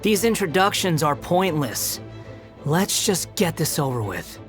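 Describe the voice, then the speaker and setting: A man's voice: fairly high pitch, big pitch swings, a little slow, over music. An actor playing an aloof, impatient young male contestant in a video game, curt and dismissive. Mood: bored, scornful. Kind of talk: video game character acting.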